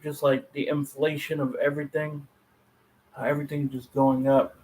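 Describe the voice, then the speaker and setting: A man's voice: on the high side, little pitch movement, normal speed, a little mellow, rough recording. A man co-hosting a podcast in casual, conversational chat. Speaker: podcast co-host.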